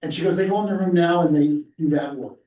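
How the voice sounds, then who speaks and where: An older man with a dark, mellow voice pleads his case earnestly in a rambling way. The sound is roomy and echoey. He is far from the mic. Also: a little high, fast talker, muffled recording. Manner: earnest and rambling, with frequent fillers. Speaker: member of the public giving comment. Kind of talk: public comment at a board meeting.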